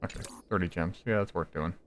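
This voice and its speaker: A man's voice: low voice, fast talker, slight background noise. A man giving casual, off-the-cuff commentary as he plays a game, muttering and thinking aloud. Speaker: gamer playing and reacting to a story game.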